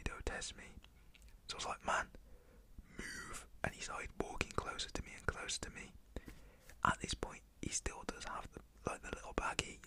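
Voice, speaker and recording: A man's voice: extremely high pitch, vocal fry. A young British man telling a personal story in a casual, slangy way, whispered in an ASMR style.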